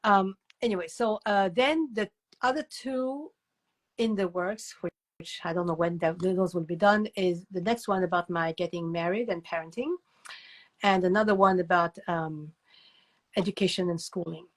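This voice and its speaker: An older woman giving conversational interview answers as a guest author, telling stories in an animated, digressive way with emphatic repetition. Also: lowish voice, normal speed.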